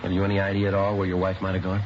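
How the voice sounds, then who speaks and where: An American actor playing a businesslike police detective in an old radio crime drama, clipped and matter-of-fact. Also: male voice, low-pitched, completely flat delivery, very fast, slight background noise, natural voice. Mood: probing. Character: terse, businesslike male police detective sergeant. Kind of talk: 1950s radio crime drama acting and narration. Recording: dull vintage radio recording with music.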